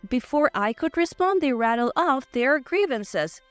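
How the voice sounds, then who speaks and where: A woman's voice: sits high, expressive, a little slow, no echo. A woman reading a scripted, first-person dramatic story as its narrator. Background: faint background music.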